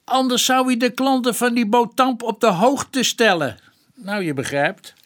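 An actor playing a plain-spoken, respectful police detective in a radio drama, explaining things colloquially. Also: male voice, extremely high pitch, measured pace, fairly bright tone. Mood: narrating grimly.

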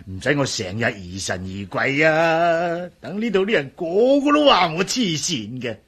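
A man's voice: a little high, very animated, measured pace, a bit bright, a little hiss or hum, heavily acted. An actor playing a fussy, superstitious old male servant in a radio drama, flustered and stammering with frequent sighs, in Hong Kong Cantonese. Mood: grumbling, fretful. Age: older.

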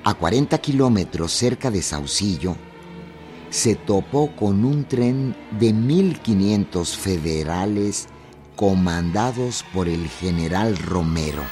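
A man delivers deliberate, theatrical scripted narration for a radio drama. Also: low-pitched, lively intonation, darkish tone. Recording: prominent music and effects behind.

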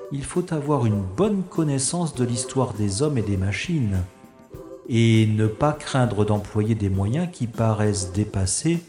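A man playing a wry, self-assured secret agent in a science-fiction audio drama, musing in a measured, formal way. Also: lowish voice, dark tone, reverberant.

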